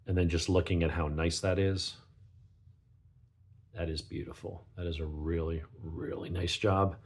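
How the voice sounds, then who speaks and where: Male reviewer, low husky gravelly voice, stuffed up with a cold, talking casually and off the cuff. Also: fairly even intonation, unhurried. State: sniffly with a cold. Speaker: knife reviewer, channel host. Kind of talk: casual unscripted product review.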